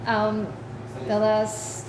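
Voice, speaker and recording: A woman's voice: mid-range voice, completely flat delivery, very slow. A woman from Mexico, a native Spanish speaker, asking casual questions as an interviewer. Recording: echoey room, clear background noise.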